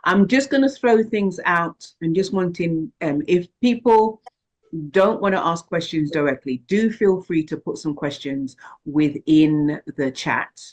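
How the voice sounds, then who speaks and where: A woman's voice: on the low side, a little slow, lo-fi sound. Female moderator from the Caribbean, conversational and thinking aloud, heard over a video call. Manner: thoughtful, with frequent restarts and repeated words. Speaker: moderator of an online discussion. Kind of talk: casual online group discussion.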